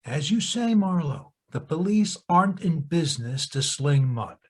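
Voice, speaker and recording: An actor playing a laconic, shrewd police lieutenant in an audio drama, speaking in a terse, dry, husky way. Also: male voice, on the high side, lively intonation, a little slow. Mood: knowing, firm.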